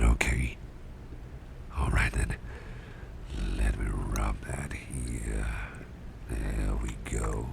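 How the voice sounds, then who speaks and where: A man playing a caring, protective boss in an ASMR roleplay, speaking in a gentle, breathy near-whisper with reassuring, hesitant pauses. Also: heavily acted. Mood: soothing. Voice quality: breathy near-whisper with heavy low creak. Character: caring, protective male boss tending to his employee. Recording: close recording with clear background noise.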